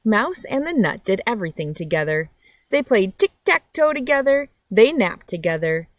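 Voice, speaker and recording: Female storybook reader, friendly children's host, very animated intonation, dry sound. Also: mid-range voice, normal speed, dry recording. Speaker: read-aloud host. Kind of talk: children's storybook read-aloud with casual chat. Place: outdoors by a meadow.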